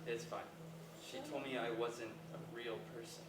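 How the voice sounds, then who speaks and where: An actor plays an earnest young man with a medium-pitched voice. He is hesitant and reflective, speaking as naturally as in conversation. The stage recording is echoey, with clear background noise. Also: level tone, normal speed, natural voice. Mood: matter-of-fact. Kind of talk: staged play reading, naturalistic dialogue. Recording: echoey, distant, noisy live stage recording.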